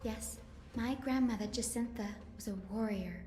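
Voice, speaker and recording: A gentle young female healer from a fantasy video game acted by a woman, speaking in a fairly high, earnest voice. She is soft-spoken and tells things in a measured way, with some echo around the voice and music underneath. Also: natural voice. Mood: solemn, wistful. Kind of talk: video game story dialogue.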